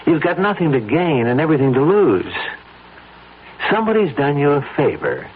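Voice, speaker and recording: Coolly coercive persuader, tinny radio. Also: male voice, fairly high pitch, very animated, normal speed. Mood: persuasive, insistent. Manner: insistent, pointed, persuasive. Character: coolly coercive man pressing a reluctant friend. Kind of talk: old-time radio drama acting. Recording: noisy, tinny old radio broadcast.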